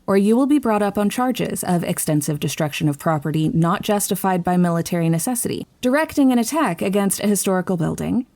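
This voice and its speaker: A woman's voice: medium pitch, darkish tone, no echo, natural voice. An actress playing a stern, commanding female military commander with a clipped, no-nonsense manner in an audio drama.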